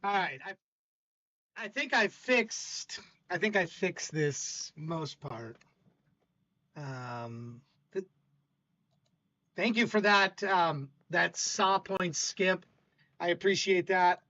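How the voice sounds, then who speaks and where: Loud older man hosting a stream. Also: high voice, expressive, takes it slowly, long pauses, highs cut off. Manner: rambling, stammering, warm toward chat. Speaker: stream host. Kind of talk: casual livestream chat.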